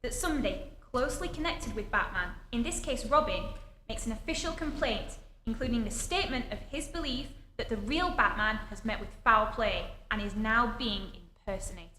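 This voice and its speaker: Brisk, sceptical inspector, played by a woman, high forceful voice, old radio serial staged in an echoey hall. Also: lively intonation. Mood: businesslike. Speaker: amateur theatre actor. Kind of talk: 1940s radio acting. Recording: echoey hall, live stage, background noise.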